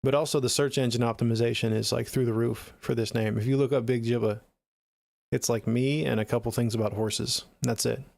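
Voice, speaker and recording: A man's voice: mid-range voice, steady tone, fairly quick, creaky, a little mellow, no echo. A middle-aged man with an American accent chatting casually on a livestream in a laid-back, slangy way, close to the mic. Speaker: music production livestreamer. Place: small home studio room, indoors.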